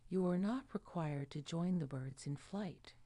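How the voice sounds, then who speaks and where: A woman narrating a guided sleep hypnosis in a soft, soothing, hushed manner. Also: deep voice, measured pace, dry recording. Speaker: meditation guide.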